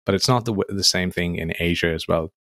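A young man from Nepal, hosting an interview in a relaxed, chatty way with lots of "um" and "like". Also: deep voice, quick pace, dry recording, clean studio sound. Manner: relaxed, chatty, many fillers like "um" and "like". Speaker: podcast host. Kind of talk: casual interview conversation.

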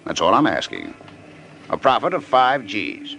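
An actor playing a slick, brazen fight promoter in an old radio drama, smooth-talking and insistent, with a noisy recording. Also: male voice, lowish voice, huge pitch swings, unhurried, pushed and bright, full character voice. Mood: pushy, confident. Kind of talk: 1950s radio detective drama acting.

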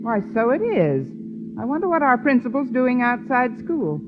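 An actress playing a sensible, wry schoolteacher with dry, measured comic timing in a radio comedy. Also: female voice, fairly high pitch, very animated. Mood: dryly amused. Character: wry, sensible woman high school teacher. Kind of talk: 1950s radio comedy acting. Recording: muffled, noisy old radio recording, loud studio audience.

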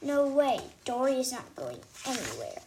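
A woman's voice: high-pitched, sing-song, normal speed, warm tone, slight background noise. A woman voicing a scheming, sneaky villain woman in a storybook, with a sneering delivery. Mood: gloating.

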